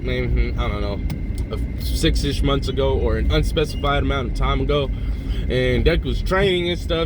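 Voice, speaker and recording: A man's voice: a little high, noisy background. A young man telling a made-up fan story in casual, profane slang, rambling with lots of "right?" and "like".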